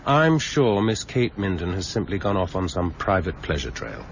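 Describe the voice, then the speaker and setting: A very deep-voiced English police superintendent, weary, curt and drily sarcastic. It is radio crime drama, on a dull recording with some background noise. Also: male voice, very animated, normal speed. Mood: dry, brisk. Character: weary, sardonic, impatient male police superintendent. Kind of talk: 1990s British radio drama acting.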